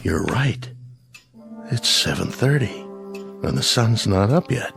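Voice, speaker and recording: An actor playing a weary, stern small-town sheriff in a radio drama. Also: male voice, mid-range voice, animated, slow pace, vocal fry, over music. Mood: irritated. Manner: stern, grave.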